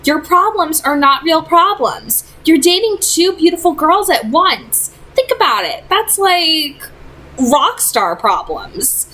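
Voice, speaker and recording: A woman's voice: extremely high pitch, big pitch swings, slow pace, sharp tone, pitched above the performer's own voice, exaggerated voice, poor audio. A woman voicing a feisty, exasperated teenage girl in an emphatic, theatrical storybook read. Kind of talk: audiobook character dialogue.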